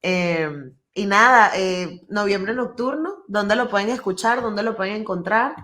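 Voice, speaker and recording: A woman from hosts in a mid-pitched voice, reacting eagerly with frequent short affirmations. Her voice rings with noticeable room echo. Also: lively intonation, a little slow, pushed and bright. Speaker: podcast festival host. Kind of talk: casual interview hosting. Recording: echoey room.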